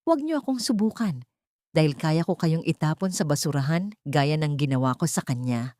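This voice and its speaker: A woman's voice: low-pitched, expressive, moderate pace, warm tone, no room sound, clean studio sound. A woman voicing a scheming, venomous socialite villainess in an audio drama, mixing Tagalog with English. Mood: cruel, threatening. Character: scheming, venomous young socialite villainess, desperate when cornered.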